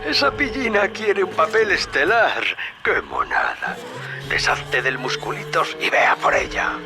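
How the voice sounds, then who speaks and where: A man's voice: on the high side, sing-song, unhurried, music in the background. An actor playing a sly, scheming middle-aged businessman in a dubbed video game, wheedling and folksy. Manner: wheedling, folksy, calls the listener friend and brother. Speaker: radio guide character in a dubbed video game.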